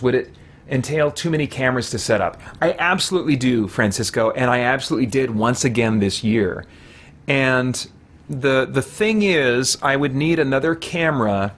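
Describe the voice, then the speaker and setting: A middle-aged man with a medium-pitched voice chats casually on a live game stream. He rambles and thinks aloud as he goes, with game music playing behind him. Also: a bit bright. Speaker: streamer hosting a gaming marathon. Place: small home room lined with shelves.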